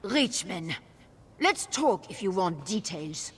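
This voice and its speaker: A woman's voice: on the low side, big pitch swings, slow pace, breathy edge, long reverb tail, full character voice. An actress playing a stern, determined female guard captain in a video game, with a commanding, clipped manner. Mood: terse. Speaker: soldier on guard. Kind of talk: video game character acting.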